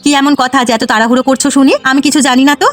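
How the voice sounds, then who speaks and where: A woman voicing a greedy, short-tempered village wife in a cartoon, sharp-tongued and nagging. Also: sits high, steady tone, very fast, faint music behind. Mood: irritated. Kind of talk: Bengali cartoon voice acting.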